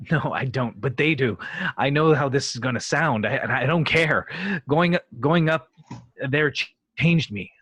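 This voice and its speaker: A man with a husky voice playing an earnest, pleading actor-captain in an amateur script read. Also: mid-range voice, unhurried, a bit bright, dry recording.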